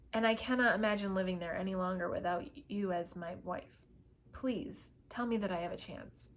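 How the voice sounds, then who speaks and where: Lovestruck period gentleman for storybook romance, played by a woman. Earnest, tender, formally devoted. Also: mid-range voice, natural voice. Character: lovestruck earnest gentleman suitor proposing marriage.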